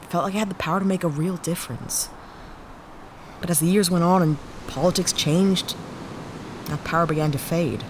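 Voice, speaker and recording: A woman's voice: low-pitched, slight background noise. A woman voicing a weary, disillusioned former cop turned freelancer, talking in a candid, rueful, self-deprecating way. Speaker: lead character. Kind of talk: audio drama acting.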